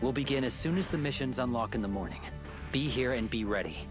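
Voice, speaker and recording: Resolute, mid-pitched hero leader played by a man. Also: fast talker, natural voice. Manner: earnest, resolute. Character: serious, determined male hero team leader. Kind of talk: dramatic video game cutscene acting. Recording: loud game music behind.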